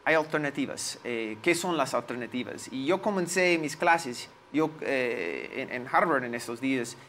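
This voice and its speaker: A man in his forties with an American accent, a native English speaker, recalling his experiences in an interview and pausing to search for words. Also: mid-range voice, expressive, a bit bright, slight background noise. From United States. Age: 40s. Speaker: lawyer, interview guest. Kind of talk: interview, personal recollection.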